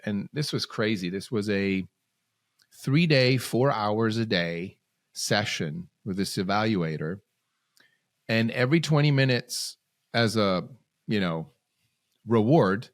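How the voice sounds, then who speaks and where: A man's voice: fairly low pitch, no room sound, clean studio sound. A man, a podcast guest telling his story in bursts, with sudden rises and restarted phrases. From Switzerland. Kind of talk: casual storytelling interview.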